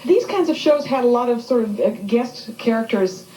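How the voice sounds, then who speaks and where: A woman's voice: on the high side, normal speed, reverberant, rough recording. A woman hosting a talk show in a studio with an audience, asking eager questions and stumbling over words. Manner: lively, eager questions, stammers.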